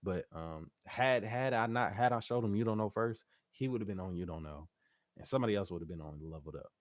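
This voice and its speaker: A man with a low, deep voice talks as a guest artist in a casual interview. His delivery is informal and slangy, coming in loose bursts. The sound is dry and close, with no room reverb. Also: fast. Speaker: interview guest, R&B singer and producer.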